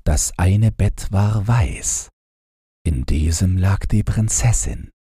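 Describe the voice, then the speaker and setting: Guy reading a bedtime fairy tale, low voice, really husky, takes his time. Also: mellow, no echo, clean studio sound. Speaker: audiobook narrator.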